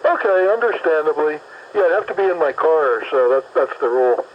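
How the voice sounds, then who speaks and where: A man's voice: fairly high pitch, a little fast. A man talking on a CB radio while driving his car, upbeat and chatty, describing his route. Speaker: mobile CB radio operator. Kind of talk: casual CB radio chat. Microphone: mobile CB radio handset. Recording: muffled CB radio with static.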